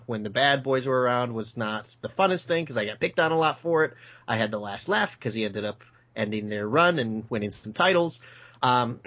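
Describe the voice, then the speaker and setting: A man's voice: medium pitch, fairly bright tone. Nasally self-deprecating man on lo-fi audio. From Michigan, Metro Detroit suburbs. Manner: self-deprecating, chuckles often, many fillers. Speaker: podcast guest. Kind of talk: relaxed conversational interview. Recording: dull lo-fi audio.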